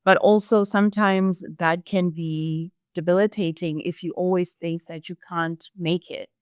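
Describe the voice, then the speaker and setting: A young woman from Zimbabwe, a sales employee answering interview questions in a thoughtful, measured way, with some fillers. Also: fairly low pitch, steady tone, smooth, no echo, clean studio sound.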